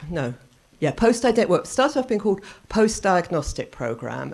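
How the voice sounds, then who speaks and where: Woman speaker at a conference, reins in a loud voice, self-correcting as she goes. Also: low-pitched. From England. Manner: loud voice, held down on purpose; thinking aloud, self-correcting, with short laughs. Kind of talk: conference talk. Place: conference room.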